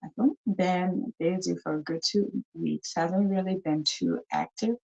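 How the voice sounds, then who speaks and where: A woman's voice: fairly low pitch, normal speed, vocal fry, darkish tone, lo-fi sound. A woman hosting a live talk show, chatting casually and repeating herself as she greets viewers, with a cold. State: has a cold, coughing. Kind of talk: casual live-stream chat.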